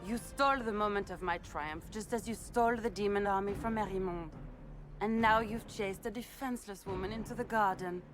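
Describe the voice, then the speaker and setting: A woman's voice: mid-range voice, over music, natural voice. Haughty, mocking villainous noblewoman played by an actress. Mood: bitter. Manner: mocking, aristocratic, drawn-out. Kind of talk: video game cutscene acting.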